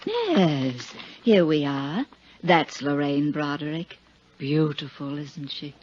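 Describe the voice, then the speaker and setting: An actress playing a kindly, sentimental high-school nun in a 1950s radio drama, hesitant, musing and gently effusive. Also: female voice, sing-song, takes it slowly, soft background music, full character voice. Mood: fond, wistful. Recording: dull, faintly noisy 1950s radio recording.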